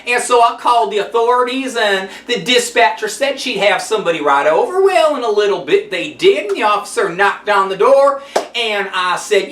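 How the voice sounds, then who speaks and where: A man's voice: high-pitched, pushed and bright, heavily acted. A man voicing a chatty, sassy old grandmother who hosts a cooking show, rambling in a folksy, gossipy way and a bit out of breath. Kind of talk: comedic character monologue. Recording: roomy sound, mic at a distance.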